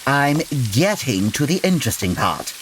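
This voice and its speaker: A man playing a logical, formal gentleman detective with theatrical, proper diction in a comedic audio drama. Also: mid-range voice, sing-song, moderate pace, a little hiss or hum, rough recording. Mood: intrigued. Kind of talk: comedic old-time radio drama acting.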